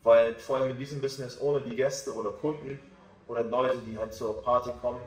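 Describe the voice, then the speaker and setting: A man in his twenties, a DJ, talking about his music in a relaxed, self-assured way during a casual interview. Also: medium pitch, brisk, far from the mic. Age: 20s. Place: small backstage room with sofa, indoors. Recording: echoey room, voice off-mic.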